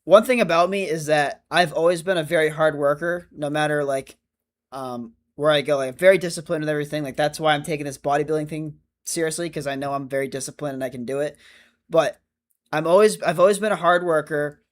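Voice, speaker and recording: Male streamer, 25, casual chatty delivery, slightly raised pitch. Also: brisk. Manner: chatty, rambling, self-correcting. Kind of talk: casual livestream chat reading comments.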